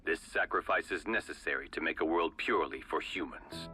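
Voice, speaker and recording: Deep, commanding older male chief. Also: bright, forceful tone. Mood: grave, resolute. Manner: grave, measured, grandiose. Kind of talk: video game cutscene acting. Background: faint background music.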